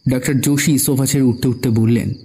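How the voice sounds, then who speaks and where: A man's voice: mid-range voice, fairly even intonation, fairly quick, mellow, a little hiss or hum. A man narrating a scripted suspense story as the narrator of an audio drama.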